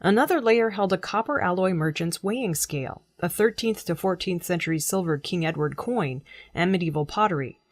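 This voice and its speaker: A woman reading scripted news as a presenter, in a measured, formal delivery. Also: fairly low pitch, lively intonation, no room sound. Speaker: news reader.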